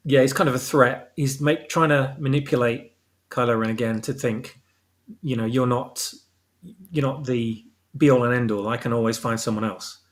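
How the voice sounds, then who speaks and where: A man's voice: medium pitch, fairly even intonation, normal speed. A man speaking as a guest author, explaining his work conversationally and searching for the exact word as he goes. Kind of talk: explaining own story in casual discussion.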